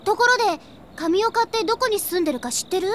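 A woman's voice: very high-pitched, lively intonation, fast talker, slight background noise. A woman voicing a small, curious, blunt boy with a childlike manner in a Japanese audio drama. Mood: curious, perky. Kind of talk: Japanese anime-style audio drama acting.

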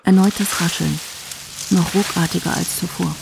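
An actress playing a detached narrator in a radio drama, reading out stage directions in deadpan, clipped fragments. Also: female voice, on the low side, normal speed, creaky. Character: detached female narrator announcing scenes and sounds. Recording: loud ambient noise behind.

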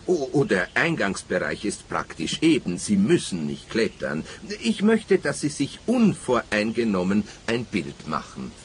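Officious forester with wildly swinging intonation. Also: male voice, lowish voice, wildly swooping pitch, a little slow, hardly any pauses, a little hiss or hum. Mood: earnest, suspicious. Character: earnest, officious male forester, devoted company man. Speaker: supporting actor. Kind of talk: radio play dialogue.

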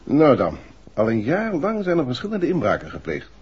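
A man's voice: mid-range voice, wildly swooping pitch, moderate pace, a little mellow, breathy edge, exaggerated voice. A man acting a polite, weary, matter-of-fact police inspector in a 1960s radio drama, explaining things patiently. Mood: weary, explanatory. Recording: muffled old 1960s radio recording.

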